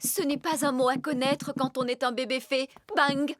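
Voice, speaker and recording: A woman playing a brisk, self-assured fairy nanny in a children's cartoon dub, with an emphatic, theatrical delivery. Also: high-pitched, flat delivery, no echo, clean studio sound, natural voice. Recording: music behind the voice.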